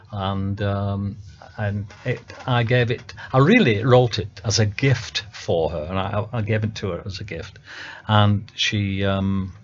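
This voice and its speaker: Halting Northern English man, low voice. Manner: hesitant, many ums and restarts. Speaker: songwriter guest. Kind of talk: casual radio chat. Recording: dull lo-fi radio audio.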